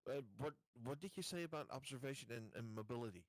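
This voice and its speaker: A man's voice: mid-range voice, lively intonation, fast talker, no room sound. A man chatting casually as a player in a tabletop game.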